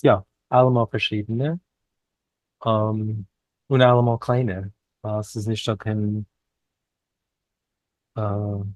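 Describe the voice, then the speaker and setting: A man with a lowish voice talks very slowly as an interview guest. He is a non-native speaker, reflective and deliberate, pausing between short phrases. The sound is dry and free of room echo. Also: a little mellow. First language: not a native speaker of Yiddish. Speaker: guest, poet and author.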